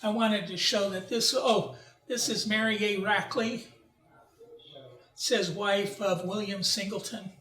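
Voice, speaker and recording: A man's voice: high-pitched, fairly even intonation, bright, forceful tone, poor audio. A man presenting a genealogy talk at a library, speaking informally with slides, often restarting his sentences and putting questions to the audience. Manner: halting, with frequent restarts and asides to the audience. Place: library event room.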